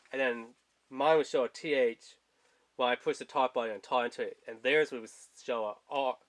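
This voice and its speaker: A man's voice: medium pitch, fairly bright tone. A man giving a casual, rambling product review in informal, colloquial language, speaking off screen indoors. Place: indoors at a tabletop.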